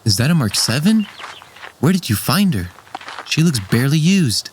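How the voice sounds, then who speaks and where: A man's voice: a little high, sing-song, normal speed, a little hiss or hum. Man as an eager young robotics genius. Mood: fascinated. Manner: eager, curious. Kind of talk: audio drama acting.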